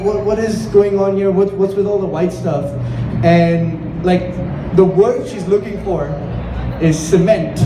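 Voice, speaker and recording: A man from Singapore performing a conversational stand-up comedy set, swearing freely and acting out bits of dialogue. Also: high voice. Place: indoor comedy venue with audience. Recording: echoey, distant live room with crowd noise.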